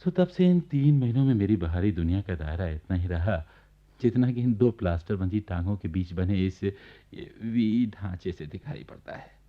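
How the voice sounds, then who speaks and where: An actor as a deep-voiced, chatty bedridden man. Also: animated, normal speed, natural voice. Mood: wry, confiding. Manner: chatty, wry, confiding in the listener. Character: genial bedridden government officer recounting his neighbours' life. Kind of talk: Hindi radio play narration. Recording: dull archival radio recording.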